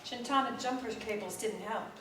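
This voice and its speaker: A woman's voice: a little high, normal speed. Woman reading theatrically in an echoey hall. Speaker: reader in a staged literary performance. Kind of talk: live dramatic ensemble story reading. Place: theater stage, live recording. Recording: echoey live theater, distant, faint noise.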